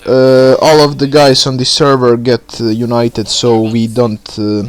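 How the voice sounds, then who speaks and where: A man with a Bosnian accent speaks English in a casual, halting way, stopping often for fillers and restarts. His voice sits at an ordinary middle pitch for a man, as he introduces his gaming video. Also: flat delivery. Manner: halting, many fillers, plain word choice. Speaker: gaming YouTuber.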